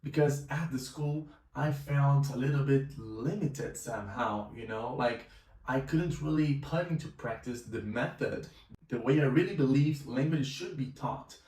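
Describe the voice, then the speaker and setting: Young male language teacher, Brazilian accent, native Portuguese speaker, relaxed vlog storytelling, mid-pitched voice, mic set back. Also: measured pace. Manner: casual, conversational, with filler phrases. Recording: roomy echo, mic at a distance.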